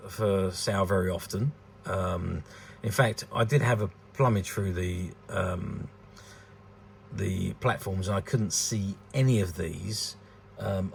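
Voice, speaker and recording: A man's voice: sits low, creaky, slight background noise. A British man in his sixties giving rambling, hesitant, unscripted commentary on a collectable, often repeating words. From United Kingdom. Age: 60s. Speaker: vintage toy dealer presenting a collectable. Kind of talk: unscripted informal product commentary.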